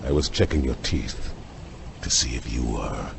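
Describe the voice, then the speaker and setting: An actor in a radio drama playing a gruff, commanding doctor who hunts vampires, with pompous, clipped, barking delivery. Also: male voice, very deep voice, a little slow, a little hiss or hum, exaggerated voice. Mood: stern, suspicious. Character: gruff, commanding male doctor and self-important vampire hunter.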